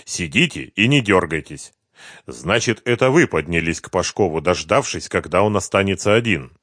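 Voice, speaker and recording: A man's voice: sits low, animated, moderate pace, a bit bright, no room sound, clean studio sound. Man playing a level, relentless male detective. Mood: pressing, accusing. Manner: level, firm, commanding. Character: calm, relentless male detective interrogating a suspect. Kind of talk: audiobook detective novel dialogue.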